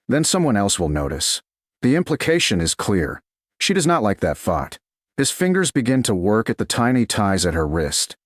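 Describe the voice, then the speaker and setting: A man narrates a romance story in measured, dramatic phrases. His voice sits low, with very animated swings in pitch. The recording is dry and close, with no room sound. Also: no echo. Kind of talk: scripted audiobook narration of a romance.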